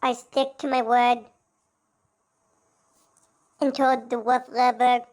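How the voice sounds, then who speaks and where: A woman with a stutter speaks haltingly, stopping and restarting her sentences. Her voice is high but barely moves in pitch, which gives her talk a flat, even sound. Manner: hesitant, halting, many restarts. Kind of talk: casual talk in her own videos.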